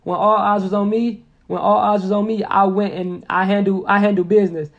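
A young man in his twenties, talking quickly and earnestly with a high, lively voice. He keeps circling back to repeat his point and leans on slang. The sound is a little dull and lo-fi. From Indianapolis. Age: 20s. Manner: earnest, motivational, repeats phrases with lots of slang. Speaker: podcast guest. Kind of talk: casual podcast conversation.

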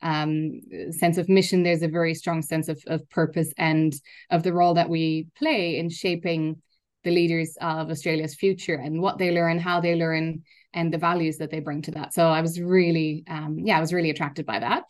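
An earnest woman in her thirties with a German accent, talking through her story as an interview guest. Her voice sits a little low, and she often doubles a word before she moves on. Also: level tone, moderate pace. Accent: German, with time in Ireland. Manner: earnest, articulate, repeats words as she restarts. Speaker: guest, learning innovation executive. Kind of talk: reflective interview talk.

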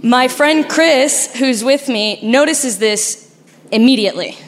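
Young Argentinian woman, first-time storyteller, animated and frank, slightly high voice. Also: slow. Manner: animated, laughing, frank and profane. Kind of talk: live storytelling. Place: stage before a live audience.

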